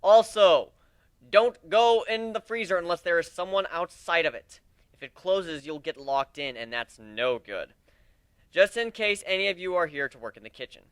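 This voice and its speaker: A man voicing a brash, cocky young griffin captain in a dramatic story reading, with a sarcastic, excitable manner. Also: high voice, normal speed, clear, resonant tone, no room sound. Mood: upbeat, announcing.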